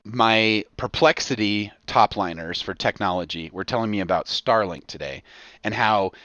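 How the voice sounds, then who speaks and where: A man in his forties with an American accent chatting casually on a podcast, riffing in an excitable, profane, slangy way full of exaggeration. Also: lowish voice, moderate pace, no room sound. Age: 40s. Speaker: podcast co-host. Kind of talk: casual comedic podcast chat.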